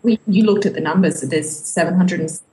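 A woman co-hosting a casual podcast discussion, speaking informally and a little haltingly as she thinks aloud. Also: lowish voice, mellow, poor audio. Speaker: podcast co-host.

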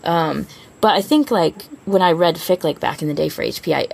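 A woman's voice: sits low, normal speed, gravelly, a little mellow, low-quality recording. A woman co-hosting a casual fan podcast, talking in an effusive, rambling way. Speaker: podcast co-host.

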